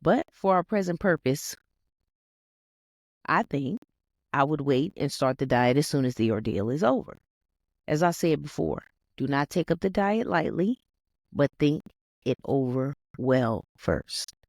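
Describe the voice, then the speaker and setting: Expressive audiobook narrator with lively intonation. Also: mid-range voice, measured pace, no echo, clean studio sound. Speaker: reader, narrator. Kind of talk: scripted audiobook-style reading of a self-help text.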